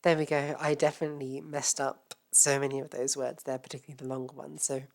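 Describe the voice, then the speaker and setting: Low-voiced woman with a cold. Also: quick pace, creaky. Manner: relaxed, self-deprecating, explanatory. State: has a cold, voice a bit off. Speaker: host. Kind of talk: educational reading and commentary.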